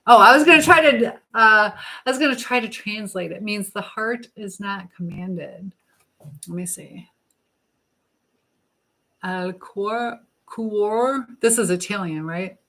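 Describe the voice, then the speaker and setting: A woman hosting a casual live chat, reading out viewer comments and thinking aloud in a halting, rambling way. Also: mid-range voice, bright, forceful tone, rough recording. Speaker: livestream host chatting with live viewers.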